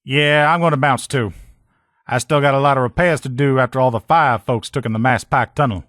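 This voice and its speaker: A man's voice: mid-range voice, a little fast, a bit bright, no room sound, studio quality, lightly acted. A man playing a folksy, loyal army lieutenant. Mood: friendly. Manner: folksy, informal soldier talk. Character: gruff, loyal, upbeat army lieutenant and power armor mechanic. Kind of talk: video game character dialogue.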